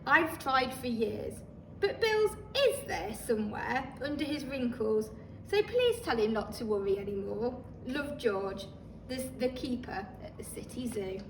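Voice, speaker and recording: A woman voicing a kindly male zookeeper's letter in a storybook, measured and reassuring. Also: a little high, animated. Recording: roomy, distant microphone, faint noise.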